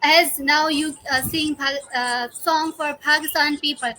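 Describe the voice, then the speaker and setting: High, bright-voiced female podcast interviewer. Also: fairly even intonation. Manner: halting, chatty non-native English. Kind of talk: casual remote video interview.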